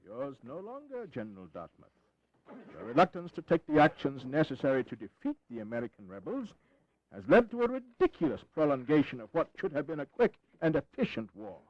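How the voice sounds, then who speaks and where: An imperious British lord for a history audio play. He is curt and pompous, his fairly high voice moving widely in pitch. The sound is close and dry, a little dull. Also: wildly swooping pitch, fairly quick, no echo. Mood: cold, official. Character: haughty, imperious British lord, cold government minister. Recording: slightly dull 1980s audio recording.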